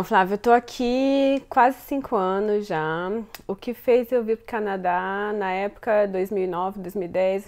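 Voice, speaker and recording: A woman's voice: medium pitch, creaky, darkish tone. A Brazilian woman in her thirties, a guest answering interview questions thoughtfully, often repeating words as she searches for them. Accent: Brazilian Portuguese. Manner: thoughtful, frequent fillers and self-repeats.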